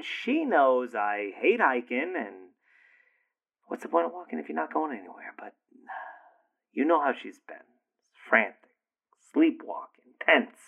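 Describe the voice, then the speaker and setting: Man playing a rambling, swearing boyfriend; gravelly voice, tinny phone sound. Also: medium pitch, a bit bright, heavily acted. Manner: profane, rambling, laughing and exasperated. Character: crude, easygoing boyfriend ranting to a friend. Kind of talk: audio drama acting.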